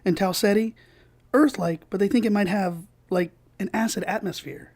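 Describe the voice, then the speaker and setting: A man's voice: sits high, moderate pace, a little mellow. An actor playing an earnest young male analyst in an audio drama, polite and hesitant. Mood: earnest, deferential. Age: young adult. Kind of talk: naturalistic dramatic acting.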